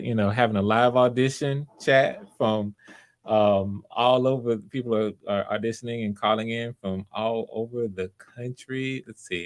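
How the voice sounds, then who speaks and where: A man with a slightly low voice hosts an online audition stream. He talks casually and conversationally, encouraging whoever is calling in. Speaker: host of live online voice auditions. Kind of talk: casual live-stream hosting chat. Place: remote live video stream.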